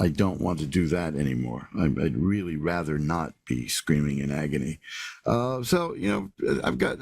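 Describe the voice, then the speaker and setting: Older male radio host, deep husky voice, wry and rambling. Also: darkish tone, dry recording, studio quality. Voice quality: raspy. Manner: rambling, repeats words, wry asides. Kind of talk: casual live radio monologue. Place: New York.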